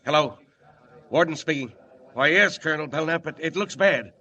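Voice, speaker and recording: Worried male warden, authoritative, earnest, urgent, high bright voice, vintage radio drama. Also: moderate pace, muffled recording. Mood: anxious, urgent. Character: anxious, principled male prison warden. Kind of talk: 1940s radio acting.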